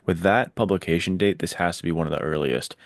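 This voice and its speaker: A man with a fairly low voice, recorded dry without room sound. He hosts a casual book chat and talks with informal, enthusiastic slang. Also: fast talker, dry recording. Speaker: podcast host, writer.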